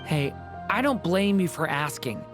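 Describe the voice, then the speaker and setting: Lively, earnest non-human girl. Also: fairly low pitch, measured pace. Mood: friendly. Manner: warm-hearted, wondering, open. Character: young, earnest, grateful non-human female being. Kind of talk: video game voice acting. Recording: game audio with clear music behind.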